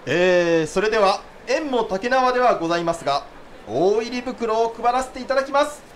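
An actor playing a theatre company staffer making a formal, projecting speech at a cast party in a radio drama. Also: male voice, high-pitched, expressive, moderate pace, slight background noise. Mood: announcing, festive.

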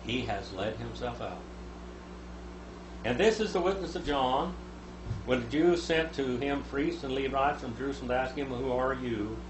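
A man in his seventies teaching the Bible at a lectern, reading scripture aloud and voicing its speakers louder. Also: mid-range voice, moderate pace. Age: 70s. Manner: reads scripture aloud, rising loud for quoted speakers. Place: small room with a lectern. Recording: echoey room, noticeable hum.

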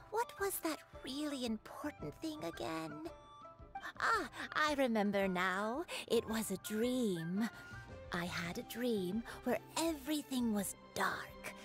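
Forgetful sweet old game granny, wistful, real slow, lilting. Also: female voice, a little high, exaggerated voice. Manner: unhurried, wistful. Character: gentle, dreamy, forgetful old grandmother. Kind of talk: video game voice acting.

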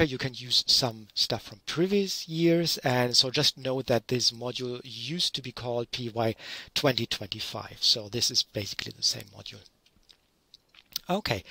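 A middle-aged man from Germany with a German accent gives a relaxed online lecture from home, using lots of fillers. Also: mid-range voice, no room sound. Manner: relaxed, explanatory, with frequent fillers. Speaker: university lecturer. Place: small room at home, curtain behind. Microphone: headset.

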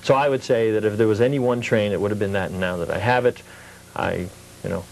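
Male interview guest, lowish voice, casual storytelling, faint noise behind. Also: fast talker, vocal fry, a little mellow, low-quality recording. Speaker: interview guest, hobby collector.

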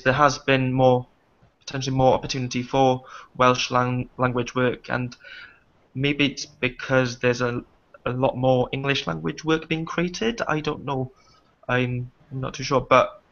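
Young man, bright tense voice, flat and even delivery, hesitant with fillers, muffled webcam audio. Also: medium pitch. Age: young adult. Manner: hesitant, many fillers and restarts. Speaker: performer and writer. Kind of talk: casual panel discussion. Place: small dim room indoors. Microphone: laptop webcam video call. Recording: muffled video call audio.

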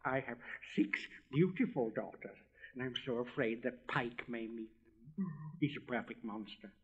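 A man's voice: mid-range voice, animated, moderate pace, breathy edge. A man voicing a chatty, complacent little male frog in a storybook reading, gushing and giggling at his own words. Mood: smug, chatty. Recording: dull lo-fi recording.

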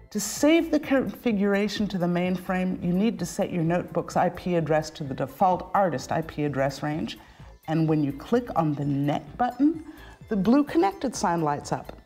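A middle-aged woman giving scripted instructional narration as a tutorial presenter, enunciating clearly step by step. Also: lowish voice, mellow. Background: background music.

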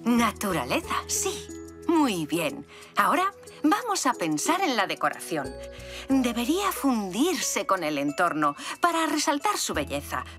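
Lively, encouraging cartoon zebra mum. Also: female voice, on the high side, measured pace, music in the background. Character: encouraging zebra mother with artistic know-how, patient, gentle. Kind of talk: children's cartoon dubbing.

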